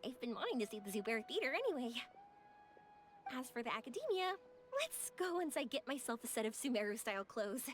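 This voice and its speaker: A woman's voice: fairly high pitch, sing-song, fairly quick, slight background noise. A woman voicing a cheerful, breezy, self-reliant young woman in a video game, upbeat and easygoing. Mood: eager, upbeat.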